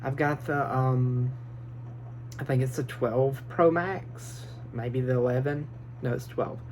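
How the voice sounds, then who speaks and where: A man's voice: mid-range voice, steady tone, slow, a little mellow. Nervous guy rambling tips into his phone in his room, fillers everywhere, faint hum. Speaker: vlogger. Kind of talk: casual advice vlog. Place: small bedroom. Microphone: phone built-in mic, no microphone.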